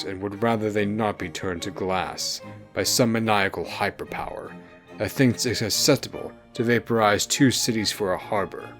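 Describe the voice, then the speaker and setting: A man's voice: low-pitched, fairly bright tone. A man voicing a proud, menacing fantasy king with a pompous, formal, imperious manner. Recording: music bed behind the voice.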